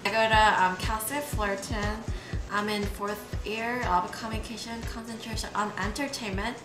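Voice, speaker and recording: A woman in her twenties, an exchange student, answering interview questions in a hesitant, soft-spoken way. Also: medium pitch, little pitch movement, normal speed, roomy sound, music in the background. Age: 20s.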